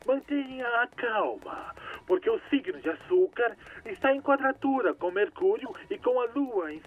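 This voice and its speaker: High-voiced, showy cartoon radio astrologer. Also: male voice, phone-quality sound. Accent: Brazilian. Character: theatrical male radio astrologer and slick pitchman. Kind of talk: cartoon broadcast horoscope patter.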